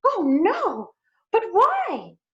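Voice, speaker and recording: A woman voicing a sweet, cheerful young princess who is kind to everyone, in a gentle, sing-song voice, on a dull lo-fi recording. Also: extremely high pitch, wildly swooping pitch, extremely slow, voice pushed up, full character voice. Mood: alarmed.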